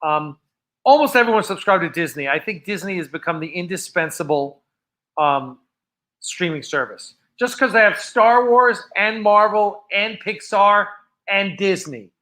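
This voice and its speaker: An American man hosting a casual live fan show, chatting off the cuff in a rambling, halting way that often trails off. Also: high voice, expressive, bright, forceful tone. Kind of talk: casual unscripted livestream chat.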